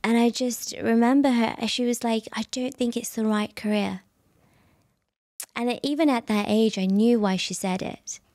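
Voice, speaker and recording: A woman's voice: fairly high pitch, normal speed, dry recording, studio quality. A woman, telling stories in a casual interview with lots of fillers. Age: 30s. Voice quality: soft voice. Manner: animated storyteller, frequent fillers and laughs. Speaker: guest.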